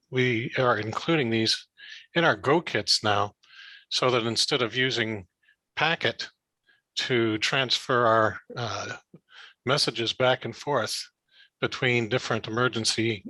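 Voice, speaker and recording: A man's voice: medium pitch, bright, forceful tone, no echo, poor audio. A man from a ham radio club explaining equipment on a video call, enthusiastic and stumbling over restarts. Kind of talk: casual technical talk on a video call.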